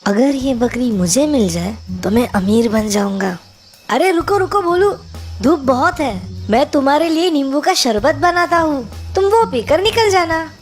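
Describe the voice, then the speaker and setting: A woman's voice: sits high, lively intonation, measured pace, soft background music. A woman voicing a greedy, sly village friend in a cartoon story, coaxing and falsely friendly. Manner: coaxing, exclamatory. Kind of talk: animated moral story acting.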